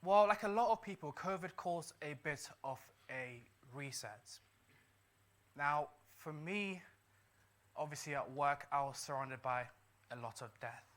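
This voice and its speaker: Bright-voiced man giving a motivational talk. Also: on the high side, expressive, a little slow. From Ghana. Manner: earnest storyteller who repeats key lines for emphasis. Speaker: doctor giving a success-story talk. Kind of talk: motivational talk to a live audience.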